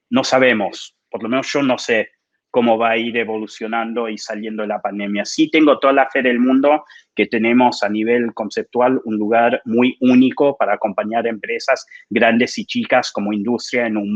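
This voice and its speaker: A man's voice: lowish voice, fairly even intonation, moderate pace. American man on a dull lo-fi line. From United States. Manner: thoughtful, slips into English. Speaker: panelist. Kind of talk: panel discussion. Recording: dull lo-fi sound.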